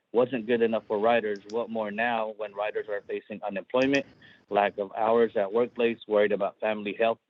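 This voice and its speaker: A man's voice: on the low side, dull audio, a little hiss or hum. A man whose first language is Filipino, giving an earnest, pleading public comment by phone. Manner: earnest, pleading, repetitive phrasing. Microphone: phone line.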